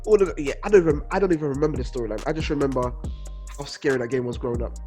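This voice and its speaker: A man's voice: medium pitch, rapid-fire, darkish tone, soft background music. A man co-hosting a casual chat, emphatic and slangy, often repeating himself for emphasis. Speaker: podcast co-host. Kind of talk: casual chat among friends.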